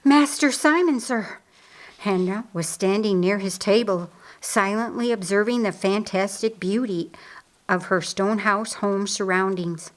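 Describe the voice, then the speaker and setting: A woman reading historical fiction aloud as an expressive audiobook narrator. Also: medium pitch, a little slow.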